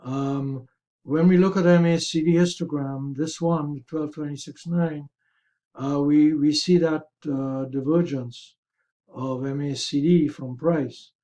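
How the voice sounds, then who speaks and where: Mellow man with a slightly raspy voice. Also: on the high side, steady tone, unhurried, lo-fi sound. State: hoarse, throat-clearing. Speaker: host of a stock market review. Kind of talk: explanatory chart commentary.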